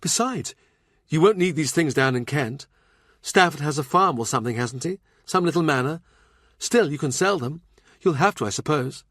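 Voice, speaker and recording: Man putting on a spiteful court lady, pitched up. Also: expressive, moderate pace, fairly bright tone, no room sound, clean studio sound. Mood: sneering. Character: spiteful, prim court lady, mocking and disapproving.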